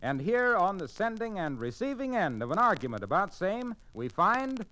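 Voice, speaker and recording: A man's voice: high-pitched, big pitch swings, moderate pace, bright, forceful tone. A man announcing on 1940s radio in an emphatic, urgent, declamatory scripted style, on an old transcription recording.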